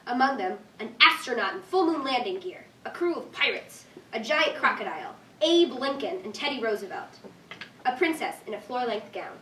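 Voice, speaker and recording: A woman's voice: a little high, bright, forceful tone. A woman reading scripted stage directions as narrator at a live staged reading. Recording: distant echoey room, faint noise.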